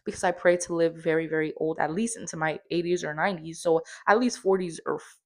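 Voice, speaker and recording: A woman in her late twenties talks straight to her viewers in a relaxed personal vlog. Her voice sits a little low, and she rambles openly, leaning on casual fillers as she goes. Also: fast. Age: 20s. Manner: chatty, candid, lots of fillers like "like" and "you know". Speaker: vlogger.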